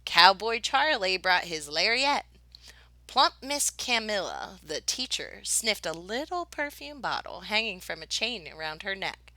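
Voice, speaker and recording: A woman reads a picture book aloud like a teacher to young listeners. Her voice is bright and very animated, with deliberate, expressive phrasing. The sound is dry and close. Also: medium pitch, no room sound. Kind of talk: expressive children's storybook narration.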